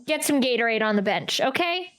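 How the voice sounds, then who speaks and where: A woman's voice: fairly high pitch, animated, fairly quick, fairly bright tone. A woman streaming a game playthrough with casual reactions, coaxing in a motherly way and repeating phrases. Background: game music.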